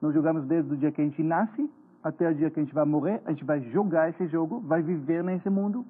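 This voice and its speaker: A man's voice: a little high, husky. A man in his forties from Israel with an Israeli accent, earnestly explaining as a guest in a TV interview. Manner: earnest, explanatory, slightly halting. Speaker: guest, finance author. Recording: tinny phone-like broadcast sound.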